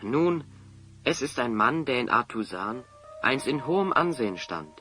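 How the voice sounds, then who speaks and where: A man playing a wise, calm and courteous adventurer in a radio play, assured and articulate. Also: medium pitch, measured pace, breathy edge, soft background music, exaggerated voice. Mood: grave, explaining. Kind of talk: German radio play acting.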